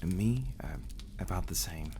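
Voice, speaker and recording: A man's voice: sits low, lively intonation, moderate pace, background noise. A man playing a brooding, bitter vampire in an ASMR audio roleplay. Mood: weary, worried. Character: brooding, bitter male vampire, resentful of his absent guardians.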